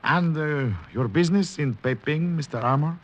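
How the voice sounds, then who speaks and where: An actor playing a powerful, probing Chinese man of influence, formal and smoothly polite, in 1940s radio style. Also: on the high side, moderate pace, natural voice. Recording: noisy old radio drama recording.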